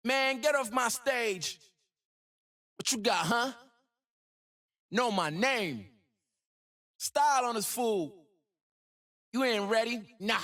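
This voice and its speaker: A young, ambitious hip-hop hero from a video game. His voice is high and swings around in pitch, with slow, rhythmic, slangy swagger and total self-belief. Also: lively intonation, a bit bright, exaggerated voice. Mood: taunting. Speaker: video game voice actor and rapper. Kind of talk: game voice lines.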